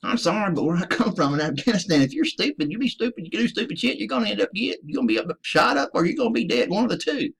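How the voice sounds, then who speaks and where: A man's voice: high-pitched, lively intonation, fast talker, vocal fry, few pauses, slightly rough. A man from the United States, a military veteran, delivering a profane, emphatic ranting monologue to his listeners. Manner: profane, emphatic, addresses listeners as ladies and gentlemen.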